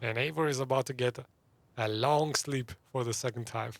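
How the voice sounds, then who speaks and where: Chatty male gaming streamer, mid-pitched. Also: normal speed, creaky. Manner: chatty, reacting to the game. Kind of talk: casual live playthrough commentary. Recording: game ambience behind the voice. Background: video game ambience.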